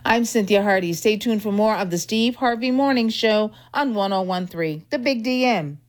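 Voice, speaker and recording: A woman reading local news updates on radio, in a brisk, even way. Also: medium pitch. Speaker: news reader.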